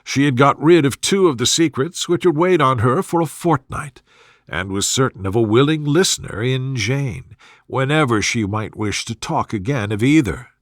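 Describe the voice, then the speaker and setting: A man's voice: mid-range voice, animated, normal speed, no room sound, studio quality. A man narrating a classic story as a soothing, scripted bedtime reading. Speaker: audiobook narrator.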